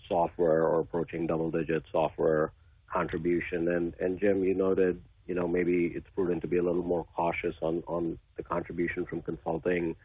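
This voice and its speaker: A man phoning in as a financial analyst, asking his question haltingly and repeating words, over a narrow phone line. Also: low-pitched, breathy edge, no echo. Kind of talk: phone-in question on an earnings call.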